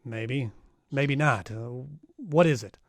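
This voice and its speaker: An actor playing a tough, wry private detective in a 1940s-style radio drama, narrating and trading lines. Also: male voice, medium pitch, slow, no room sound, studio quality, close to an everyday voice. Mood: cool, needling.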